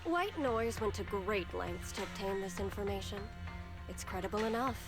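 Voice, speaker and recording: A woman's voice: mid-range voice, normal speed, lightly acted. An actress playing a composed, sly female schemer in a video game, with a teasing, unruffled manner. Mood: cool, persuasive. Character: composed, sly female schemer, coolly needling her ally. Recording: dramatic music under the voice.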